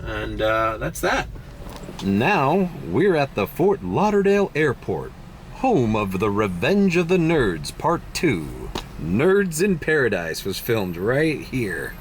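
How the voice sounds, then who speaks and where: A man's voice: medium pitch, takes it slowly, slight background noise. Groggy young man vlogging from his car. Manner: swears casually, animated storytelling. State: groggy voice early in the morning. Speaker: rideshare driver vlogger. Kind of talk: casual driving vlog. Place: inside a car.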